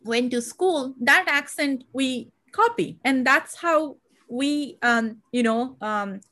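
Interview host, female, Indian accent, fairly high pitch, halting and full of restarts. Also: sharp tone. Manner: hesitant, many fillers and restarts. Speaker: podcast host. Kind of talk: conversational interview.

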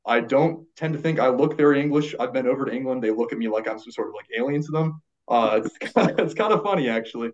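A man co-hosting a podcast in casual chat, relaxed and rambling, with many fillers and restarts. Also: medium pitch, fast talker, hardly any pauses. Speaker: podcast co-host. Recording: dull lo-fi sound.

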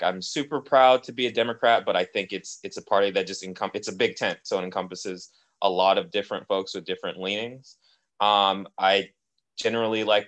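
A young man co-hosting a podcast, speaking in a measured, articulate way with frequent ums. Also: deep voice, fairly bright tone. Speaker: podcast co-host, policy advocate. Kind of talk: casual podcast conversation.

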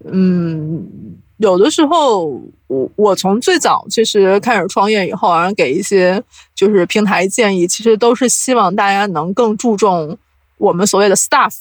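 A woman's voice: mid-range voice, normal speed, no echo. A woman speaking as an interview guest, explaining audio production in casual chat and mixing in English terms.

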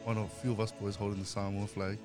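A low-pitched man co-hosting a friendly chat, eager and a little rambling as he strings his thoughts together. Music sits clearly in the background. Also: level tone, very fast. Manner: enthusiastic, welcoming, rambling. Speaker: podcast co-host. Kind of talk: casual chat.

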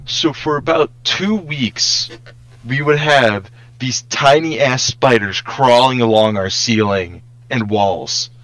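A man's voice: medium pitch, slow pace, a bit bright, no echo. A young man co-hosting a podcast in casual, joking group conversation. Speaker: podcast co-host. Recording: poor podcast audio with playback bleed.

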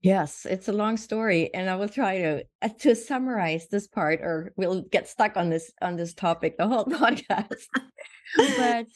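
A woman's voice: medium pitch, animated, a little slow, no room sound, studio quality. A woman in her sixties from Sweden, earnestly telling her life story as a podcast guest, often restarting and repeating words. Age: 60s. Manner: earnest, reflective, frequent restarts and repetitions. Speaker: guest physician. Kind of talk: conversational storytelling.

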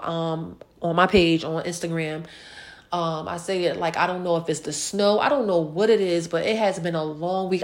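Blunt, emphatic woman chatting casually. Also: lowish voice. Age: late 30s, turning forty. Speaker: host of a live online show. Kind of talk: casual celebrity gossip and personal chat to followers.

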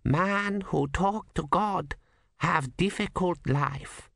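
Guy doing a wry old sweeper monk, drops little words, slow and dry. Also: sits high, gravelly, fairly bright tone, no echo, pitched above the performer's own voice, full character voice. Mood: calm. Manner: clipped broken English, drops small words.

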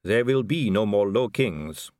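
A man voicing an aristocratic lady vampire in an audiobook, smooth, measured, knowing and condescending. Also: on the low side, expressive, a little mellow, no echo, studio quality, voice pushed up. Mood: knowing, weary. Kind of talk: audiobook character dialogue.

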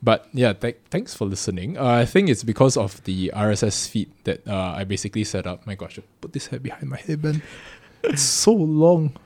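A man's voice: mid-range voice, very animated, brisk, a little mellow. A man with a Singaporean accent co-hosting a casual podcast, rambling and often correcting himself as he goes. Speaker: podcast co-host.

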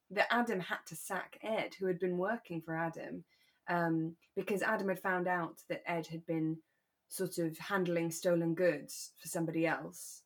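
Casting: woman, slightly low voice, relaxed podcast co-host discussing a radio serial.

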